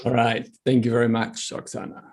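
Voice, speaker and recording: A man's voice: medium pitch, completely flat delivery, fairly quick, darkish tone. Upbeat man moderating an online workshop. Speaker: workshop facilitator.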